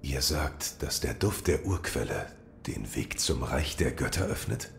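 A grim shinobi speaks in an extremely low, gravelly voice. The loyal warrior is terse and solemn, as in an audio drama, over clear rumbling noise. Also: expressive, measured pace, heavily acted. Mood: solemn, dutiful.

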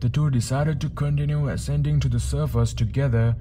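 A man narrating a true disaster story as a channel host, in a steady storytelling manner with asides to the viewers. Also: medium pitch, brisk, a little hiss or hum. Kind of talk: scripted documentary narration. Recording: faint music bed under narration.

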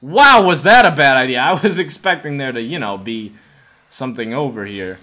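A man in his twenties giving excitable, dramatic and jokey gameplay commentary as he hosts a gaming video. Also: medium pitch, normal speed. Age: 20s. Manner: dramatic, jokey, talks to game characters.

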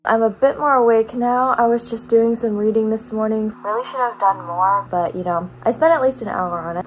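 A woman's voice: medium pitch, a little mellow, a little hiss or hum, poor audio. Young woman, college vlogger, chatty and encouraging, mid-range voice, plenty of "like" fillers. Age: young adult. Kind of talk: casual vlog talk to camera.

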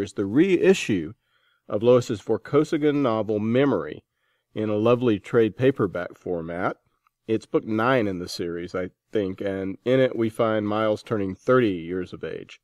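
A man's voice: fairly low pitch, expressive, moderate pace, a little mellow, no echo, clean studio sound. A man hosting a podcast, reading scripted announcements and introductions in an informal, enthusiastic manner. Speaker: podcast host.

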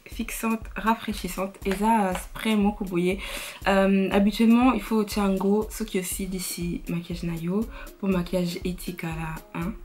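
Young female beauty vlogger with an Italian accent, casual and chatty, to camera in a bedroom, soft music under. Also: mid-range voice. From Italy. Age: young adult. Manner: chatty, mixes French and Lingala, frequent fillers. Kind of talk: casual makeup tutorial chat. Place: bedroom, indoors.

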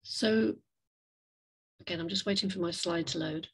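A woman giving an online slide talk as a guest speaker over a video call, with hesitant, reflective, measured phrasing. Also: lowish voice, creaky, husky. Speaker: guest speaker, climate café facilitator. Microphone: video call microphone.

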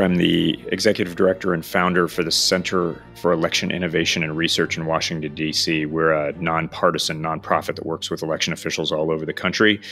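Deep, bright-voiced man. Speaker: nonprofit director, expert guest. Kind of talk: formal interview commentary.

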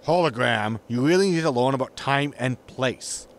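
Man acting lively, cocky starship captain. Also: mid-range voice, normal speed, lightly acted. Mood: indignant, defiant. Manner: blunt, irritable, informal. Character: cocky, quarrelsome male spaceship captain. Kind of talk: science fiction comedy audio drama acting.

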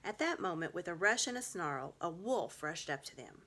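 Female storybook reader, middle-aged, slightly low pitch, bright tone, home recording. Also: expressive, normal speed. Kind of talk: reading a children's storybook aloud. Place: small home room, indoors.

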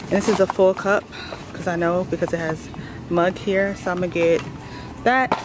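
A woman's voice: lowish voice, fairly even intonation, normal speed, background noise. An American woman in her thirties chatting casually and familiarly to her viewers as a lifestyle vlogger. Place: car, then a large store.

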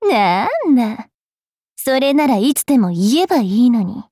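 A woman playing a haughty, cruel young fairy priestess in an anime game, sneering and taunting with a rough mouth. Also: on the high side, expressive, moderate pace, dark tone, dry recording, clean studio sound. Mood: smug threatening.

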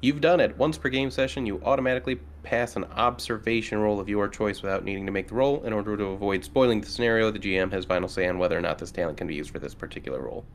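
A man's voice: on the low side, fast talker, few pauses, a little hiss or hum. A man chatting casually as a player in a tabletop role-playing game.

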